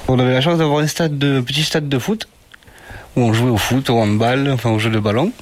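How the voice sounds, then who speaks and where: A man's voice: mid-range voice, fairly even intonation, a little fast. A middle-aged man, a parent, answering children's questions in simple, friendly words. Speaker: interviewee, parent. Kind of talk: casual interview answers.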